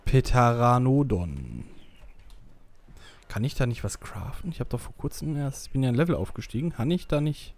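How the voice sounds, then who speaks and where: A man chatting through a co-op survival game with a friend, joking around. He breaks into loud, excited shouts when something happens in the game. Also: medium pitch, moderate pace, vocal fry, no room sound. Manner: jokey, excitable outbursts while fighting. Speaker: gameplay commentator. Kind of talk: casual co-op gameplay chat.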